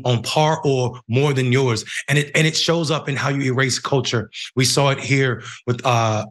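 A middle-aged man with an American accent hosting a news show, reading and commenting in a halting way with repeated phrases. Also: medium pitch, fairly bright tone. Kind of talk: news commentary, reading a report and giving opinion. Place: home room, indoors.

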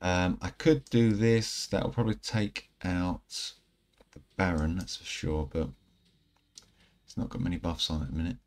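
A man in his thirties giving casual, rambling commentary over mobile game footage, a little croaky from a night out. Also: low voice, moderate pace. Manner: relaxed, rambling, self-correcting. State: slightly croaky from singing. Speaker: gaming channel host. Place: indoor room at home.

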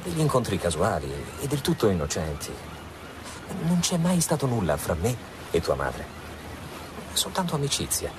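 An actor playing a reassuring, smooth-talking suitor of about thirty in an Italian radio drama. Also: male voice, on the low side, wildly swooping pitch, normal speed. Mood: reassuring, explaining. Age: 30s. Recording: radio drama with clear ambient noise.

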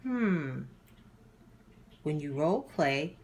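A woman's voice: sits low, very animated, very slow, darkish tone, slight background noise, heavily acted. A woman voicing a small, childlike male dinosaur in a storybook, earnest and eager to grow. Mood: pondering.

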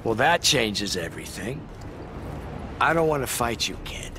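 A man's voice: mid-range voice, sing-song, measured pace, background noise. A man playing a sarcastic, patronising crook in video game fight banter. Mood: sardonic. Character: sardonic, condescending crook with a freeze gun.

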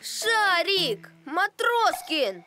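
Gentle, high-voiced cartoon boy. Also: very animated, extremely slow, heavy background noise, full character voice. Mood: puzzled, concerned. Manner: gentle, peacemaking. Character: calm, kind, sensible young boy. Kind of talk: animated cartoon acting.

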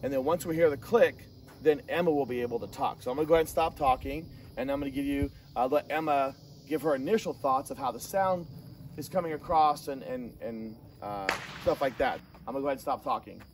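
A man's voice: medium pitch, a little fast. Distant, casual male gear reviewer outdoors. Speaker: host of a gear review channel. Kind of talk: casual hands-on product review talk. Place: outdoor shooting range. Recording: distant mic, outdoor noise, insect chirps.

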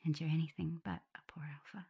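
A woman voicing a shy, affectionate young omega werewolf in an intimate ASMR roleplay, whispering softly and tenderly with gentle teasing. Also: low-pitched, fairly even intonation, fairly quick, highs cut off, heavily acted. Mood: tender, self-doubting. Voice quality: soft, breathy whisper.

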